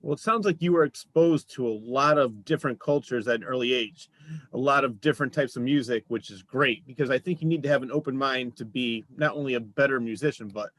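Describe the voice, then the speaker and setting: A man's voice: medium pitch, brisk, a bit bright. A man hosting a local music talk show, chatting enthusiastically with his guest. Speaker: show host. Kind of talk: casual interview chat.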